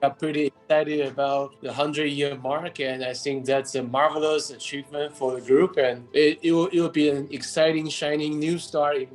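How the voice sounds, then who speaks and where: A man raised in Taiwan, a company executive answering interview questions in an unhurried way, often repeating and restarting phrases. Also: fairly high pitch, little pitch movement, soft background music, low-quality recording. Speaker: company CEO, interview guest.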